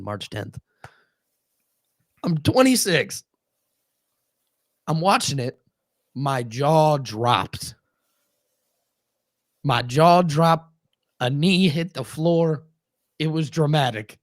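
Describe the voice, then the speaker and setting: A man's voice: medium pitch, slow pace, long pauses, dry recording. A man in his twenties co-hosting a casual wrestling stream, talking animatedly, swearing and repeating words. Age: 20s. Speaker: co-host. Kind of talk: casual live-stream chat.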